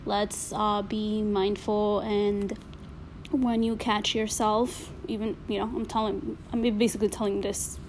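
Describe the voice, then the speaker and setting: A woman's voice: medium pitch, flat delivery, unhurried, slight background noise, low-quality recording. A woman with an accent, talking casually and reflectively to listeners, full of fillers and frequent swearing. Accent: non-native accent.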